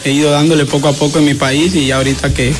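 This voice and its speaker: A young man from Honduras, speaking modestly and a little haltingly as a guest in a casual radio interview. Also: on the high side, flat delivery. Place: radio studio booth. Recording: clear music playing behind the voice. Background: music.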